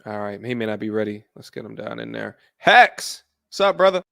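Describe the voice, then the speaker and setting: A man's voice: on the low side, very animated, brisk, vocal fry, fairly bright tone. A man hosting a call-in livestream, upbeat and brief as he moves on to the next caller. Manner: upbeat, brief, moving things along. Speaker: livestream host.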